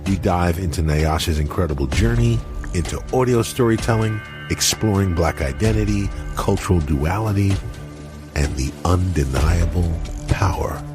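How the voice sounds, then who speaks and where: A man with a very deep voice co-hosts a podcast, introducing the guest eagerly and asking long, winding questions. Soft music plays faintly underneath. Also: normal speed. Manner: enthusiastic, long rambling questions. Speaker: podcast co-host. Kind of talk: casual podcast hosting.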